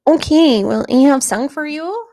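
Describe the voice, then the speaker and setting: Chatty streamer lady, voice kinda low today, lots of ums and likes. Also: expressive, a little slow, dark tone. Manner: chatty, rambling, many fillers. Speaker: streamer answering chat. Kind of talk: casual livestream chat.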